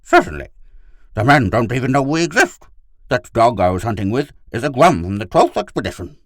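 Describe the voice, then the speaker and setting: A man's voice: medium pitch, very animated, a little fast, fairly bright tone, no room sound, clean studio sound, voice pushed up. A man voicing a complacent, carefree alien shapeshifter in dog form for an audiobook story. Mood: eager, persuasive. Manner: carefree, stubborn, earnest. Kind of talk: audiobook character voice.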